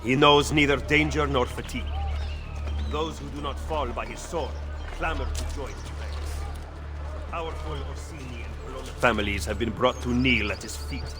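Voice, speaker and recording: Game casting: male actor as skeptical schemer, measured, formal and admonishing, heard over music. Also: medium pitch, close to an everyday voice. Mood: grave. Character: cautious, shrewd Italian Renaissance male political strategist, stern and skeptical. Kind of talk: dramatic video game acting. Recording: music behind the dialogue.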